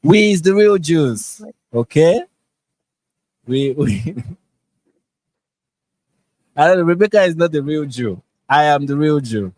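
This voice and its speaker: A man with a Nigerian accent hosting a live online chat, deadpan and sarcastic, often repeating his phrases. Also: a little high, takes it slowly, long pauses, dry recording. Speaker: host. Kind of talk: casual live-stream chat. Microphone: online call.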